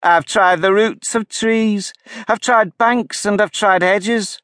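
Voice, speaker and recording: A man's voice: steady tone, slow, fairly bright tone, dry recording, clean studio sound. A man reading a storybook, voicing a fretful female pigeon who shrieks and scolds over her eggs. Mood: shrieking, aggrieved. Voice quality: shrill, scolding.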